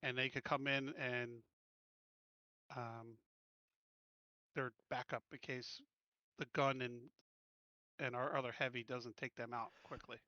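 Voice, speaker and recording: A man playing a tabletop roleplaying game, talking casually and haltingly as he plans, on a slightly dull, dry online voice chat. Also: medium pitch, little pitch movement, sharp tone, no echo.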